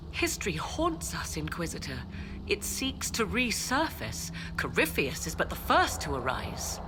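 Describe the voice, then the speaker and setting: An actress playing a proud, guarded and ambitious sorceress in a fantasy game, speaking in formal, measured, haughty phrases. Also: female voice, low voice. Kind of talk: dramatic scripted game dialogue.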